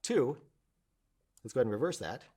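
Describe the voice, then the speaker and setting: A man instructing in a casual screen-share tutorial, thinking aloud and explaining informally, often restating points to make them clear. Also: mid-range voice, sing-song, a little fast, darkish tone. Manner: informal, explanatory, repeats himself to clarify.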